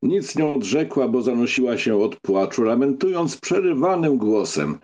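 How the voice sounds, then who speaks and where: A man's voice: mid-range voice, big pitch swings, moderate pace, darkish tone. A man reading scripted narration of a novel as an audiobook narrator.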